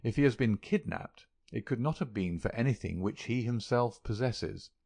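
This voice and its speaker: Composed gentleman sleuth, measured and polite, gravelly edge as a man reads him. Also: mid-range voice, animated, no echo. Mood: courteous, probing. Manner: measured, courteous, quietly probing. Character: calm, keen gentleman detective, man.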